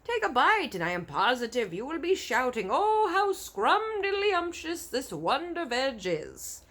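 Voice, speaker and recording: A woman's voice: high-pitched, a little slow, voice pushed up, full character voice. A woman putting on an eager, kindly giant. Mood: passionate. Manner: quaint broken grammar, pleading, animated. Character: big friendly male giant, gentle and eager.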